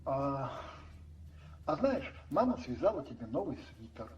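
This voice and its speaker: A man's voice: mid-range voice, big pitch swings, a little slow, highs cut off, a little hiss or hum, pitched above the performer's own voice. A man playing an affectionate, playful and easily flustered husband in a radio play. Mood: nervous, evasive. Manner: tender, lightly ironic, hesitant when uneasy.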